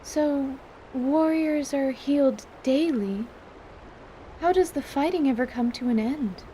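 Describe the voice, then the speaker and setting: A woman's voice: high voice, slow, mellow, a little hiss or hum, poor audio. A woman playing a placid fantasy healer in an audio drama, speaking precisely and formally with earnest, professional curiosity. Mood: earnest, inquisitive. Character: female field healer, placid, clinical and earnestly inquisitive.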